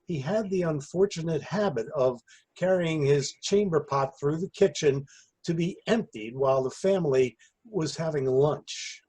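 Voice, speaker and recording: A man playing a hapless, earnest party storyteller in readers theater, hesitant and husky-voiced. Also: fairly high pitch, unhurried, mellow. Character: hapless, earnest man rehearsing a half-baked party anecdote.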